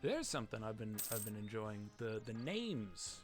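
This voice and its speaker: A man giving casual, rambling, profane game commentary, breaking off and restarting his thoughts, with excitable exclamations and muttered asides. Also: on the low side, moderate pace, soft background music. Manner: rambling, self-interrupting, profane. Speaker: Let's Play gamer and commentator. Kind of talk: casual game commentary with dramatic readings of game text.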